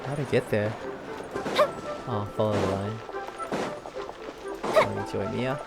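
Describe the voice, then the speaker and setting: A man's voice: on the low side, slow pace, creaky. A man casually reacting and musing aloud as he plays and streams a game. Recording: game music behind the voice.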